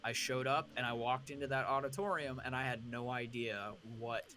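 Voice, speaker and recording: A man's voice: medium pitch, steady tone, moderate pace, a crowd in the background. A young man co-hosting a stream, chatting casually and rambling through stories with restarts. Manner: rambling, self-deprecating, trails off. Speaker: stream co-host. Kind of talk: casual chat.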